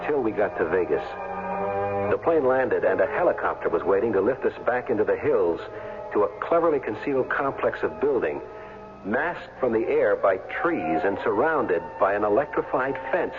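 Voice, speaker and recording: Ex-athlete hero-narrator: lowish voice, casual, wry, inquisitive, tinny vintage radio. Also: male voice, very animated, moderate pace, over music. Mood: reflective, wry. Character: wry, curious retired football player narrating his adventure. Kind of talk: 1970s radio drama, first-person narration and dialogue.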